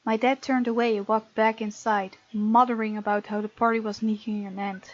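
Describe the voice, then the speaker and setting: A woman reads game text aloud in a fairly high voice, switching between narration and casual, playful reactions. She is close to the microphone in a dry space. The sound is a little dull, like a home recording. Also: flat delivery, brisk, no echo. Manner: playful, reactive, breaks off to comment and giggle. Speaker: gaming video host.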